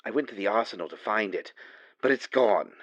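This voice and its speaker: A man's voice: lowish voice, lively intonation, brisk, a bit bright, husky, no echo, highs cut off. A man playing a worried, secretive ally in an audio roleplay, speaking urgently and dramatically as if leaving a phone message. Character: urgent, worried male ally delivering a grave warning.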